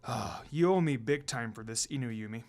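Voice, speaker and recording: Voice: mid-range voice, normal speed, a little mellow, pitched below the performer's own voice, close to an everyday voice. A reader voicing a polite, shady village doctor. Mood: weary, reluctant. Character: polite male village doctor, mild-mannered but secretly shady.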